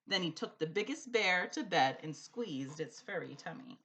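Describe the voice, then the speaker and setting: A woman reading a rhyming children's story aloud like a teacher, with an expressive, sing-song rhythm. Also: fairly low pitch, moderate pace. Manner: animated, sing-song rhythm following the rhyme.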